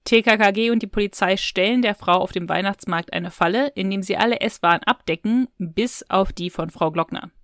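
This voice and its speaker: Female podcast co-host, casual discussion style, average pitch, very dry sound. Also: brisk, few pauses, fairly bright tone, dry recording.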